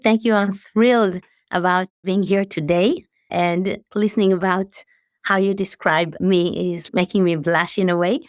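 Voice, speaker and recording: Late-40s woman from israel, lowish voice, dry close sound, earnest chatty guest. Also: steady tone, measured pace, no echo. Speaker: CEO guest. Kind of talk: interview conversation.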